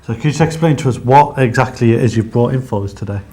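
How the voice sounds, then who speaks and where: Male co-host, mid pitch, upbeat and encouraging. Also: fast, warm tone. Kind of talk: studio chat show hosting.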